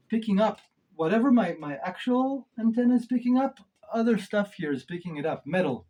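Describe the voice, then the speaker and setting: A man's voice: sits high, a little mellow, rough recording. A man giving a casual hands-on gear review, thinking aloud in an informal way with emphatic stresses. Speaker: reviewer of modular synth gear.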